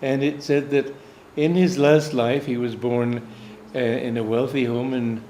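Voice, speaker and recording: A man's voice: medium pitch, steady tone, unhurried, warm tone, slight background noise. An American man, a swami and spiritual teacher, recounting a personal anecdote in a meandering storytelling way. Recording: echoey room, faint ambience.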